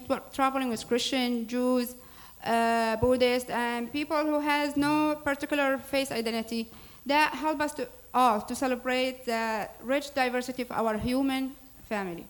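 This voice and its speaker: A woman from Syria, speaking earnestly as a board president introducing a program, with small grammar slips. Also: high voice. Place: church hall.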